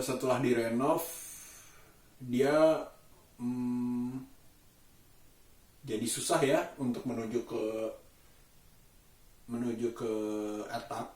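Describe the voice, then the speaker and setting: Man, casual vlogger, unhurried halting delivery, thoughtful pauses, roomy sound, distant mic. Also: medium pitch, darkish tone. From Indonesia. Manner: halting, thoughtful, searching for words. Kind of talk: casual daily vlog monologue.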